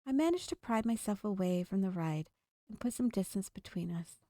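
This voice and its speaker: A woman reading a visual novel aloud for a gameplay video, expressive and dramatic, drawing out her delivery. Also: mid-range voice, moderate pace, no room sound. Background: game music.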